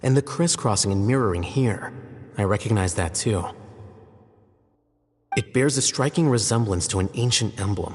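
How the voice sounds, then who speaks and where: Low, composed, aloof scholar by a male actor. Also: natural voice. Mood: analytical. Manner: measured, formal, deadpan. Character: Alhaitham, composed, aloof, scholarly young man. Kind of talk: anime video game voice acting. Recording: long reverb, music behind.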